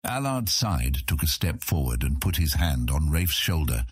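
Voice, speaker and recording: A man narrating a scripted fantasy audiobook as a measured, expressive storyteller. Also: a bass voice, husky, no echo, clean studio sound.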